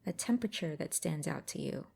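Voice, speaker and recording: A woman guiding a mindfulness exercise in a gentle, unhurried, instructive way with pauses between phrases. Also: low-pitched, expressive, gravelly, breathy edge. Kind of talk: guided meditation narration.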